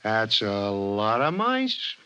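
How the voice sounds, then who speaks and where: Talking mouse, henpecked and unfazed, colloquial, bright swooping delivery, muffled vintage radio drama recording. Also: male voice, fairly low pitch, huge pitch swings, slow, clean tone, exaggerated voice. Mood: matter-of-fact. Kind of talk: 1950s radio drama acting.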